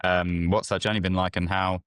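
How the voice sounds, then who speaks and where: A man's voice: sits low, flat delivery, fairly quick, fairly bright tone, smooth, dry recording, clean studio sound. A man hosting a podcast, putting questions informally and conversationally, feeling his way into each one. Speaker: podcast host. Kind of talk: casual conversational interviewing.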